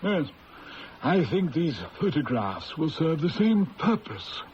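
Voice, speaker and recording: A high-voiced, shrewd police inspector. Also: male voice, big pitch swings, slow pace, a little mellow. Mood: methodical. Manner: crisp, polite, methodical. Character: shrewd male police inspector, patient and methodical. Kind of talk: 1970s radio drama acting. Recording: lo-fi old radio broadcast with hiss.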